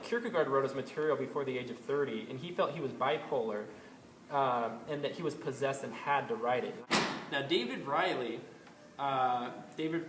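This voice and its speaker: A man's voice: mid-range voice, fairly bright tone. A man hosting an astrology video, talking in a casual, rambling way with frequent ums and restarts. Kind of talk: casual spoken commentary. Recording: echoey room with faint ambient noise.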